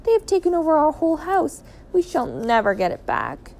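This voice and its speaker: A woman's voice: a little high, sing-song, normal speed. A woman voicing a loving, fretful mother turned small bird in a story reading, fussy and exclamatory. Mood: fretful, indignant. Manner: exclamatory, fussing.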